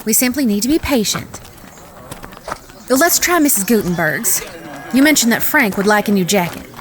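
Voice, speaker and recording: A woman playing a genteel, firm-willed young frontier lady in a Western audio drama, polite, folksy and gently bossy. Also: medium pitch, very animated, normal speed, a little hiss or hum, close to an everyday voice. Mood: reasoning.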